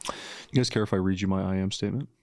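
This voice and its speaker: A man hosting a podcast in a calm, soothing, measured manner. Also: low voice, dry recording, clean studio sound. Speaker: podcast host. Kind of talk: measured interviewing and reciting.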